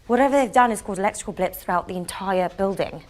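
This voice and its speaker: An actress playing an anxious staffer who reports on a crisis in a naturalistic dramatic style. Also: female voice, medium pitch, quick pace, darkish tone, natural voice. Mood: worried, urgent. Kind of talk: naturalistic dramatic screen acting.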